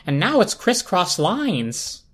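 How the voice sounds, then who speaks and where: A woman's voice: lowish voice, big pitch swings, slow pace, heavily acted. A woman voicing a wistful, observant little lamp in a storybook reading.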